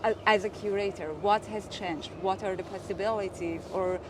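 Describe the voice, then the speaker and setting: An interviewer with a mid-pitched voice asks long, searching questions, stumbling through fillers and restarts. Clear traffic and city noise sit behind the voice outdoors. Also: fairly even intonation, normal speed. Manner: hesitant, many fillers. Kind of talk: casual interview. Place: outdoors at an art exhibition.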